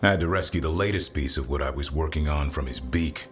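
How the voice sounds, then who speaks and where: An actor playing a deadpan, dry-humoured male warrior in a fantasy video game. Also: extremely low pitch, quick pace. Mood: dry teasing.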